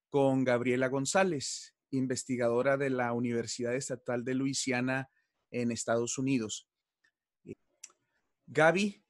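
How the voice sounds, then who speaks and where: A man's voice: medium pitch, dry recording, clean studio sound. A man with a Mexican accent hosting a conversational interview, speaking politely and somewhat hesitantly as he sets up his questions. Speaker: interview host.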